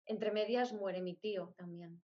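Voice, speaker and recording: A dull, lo-fi recording of a middle-aged woman with a mid-pitched voice. She is an interview guest telling personal stories, stacking short phrases and repeating them for emphasis. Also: fairly even intonation, a little slow. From born in Spain, grew up moving between countries. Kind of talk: personal storytelling in conversation. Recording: dull, lo-fi audio.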